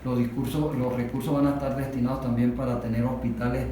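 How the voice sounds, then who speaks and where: A Venezuelan man speaking unscripted in a discussion, explaining haltingly and repeating phrases for emphasis. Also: medium pitch, level tone, mellow. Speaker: industrial worker giving testimony. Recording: very echoey room, distant mic, faint noise.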